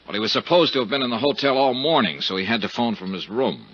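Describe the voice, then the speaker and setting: An actor playing a shrewd, dogged American investigator who questions and narrates in a clipped way in a 1950s radio detective drama. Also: male voice, mid-range voice, animated, quick pace, a bit bright. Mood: probing, dry. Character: shrewd, dogged male insurance investigator narrating his case. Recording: muffled 1950s radio recording.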